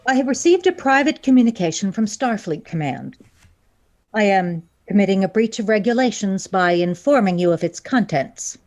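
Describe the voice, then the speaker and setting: A woman's voice: medium pitch, animated, a little mellow, natural voice. Woman acting a formal, cold alien first officer, swooping intonation. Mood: grave, official. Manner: formal, measured, precisely worded. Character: female Vulcan first officer, cold, logical, formal, menacing. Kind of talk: science fiction audio drama acting.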